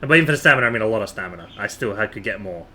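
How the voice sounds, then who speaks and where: Excitable male streamer, bright animated voice. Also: fairly low pitch, racing. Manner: excitable, jokey, swears. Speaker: game streamer. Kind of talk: live gameplay commentary. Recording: live stream with faint game noise.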